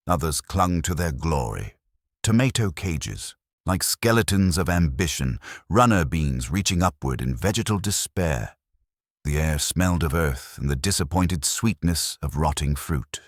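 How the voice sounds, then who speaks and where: A man narrating a scripted mystery story in a measured, literary manner with a wry touch. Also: deep voice, no room sound, studio quality.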